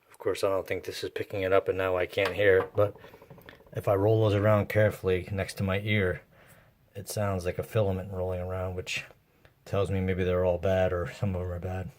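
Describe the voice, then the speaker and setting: A man narrating his own hands-on repair work casually and unscripted, thinking aloud in a halting way. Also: low-pitched, little pitch movement. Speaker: host of a radio repair vlog.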